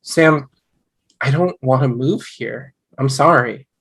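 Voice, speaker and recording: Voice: medium pitch, takes it slowly, a bit bright, breathy edge, heavily acted. Someone playing a skeptical, frustrated young husband in a conversational table read over a video call. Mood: reluctant.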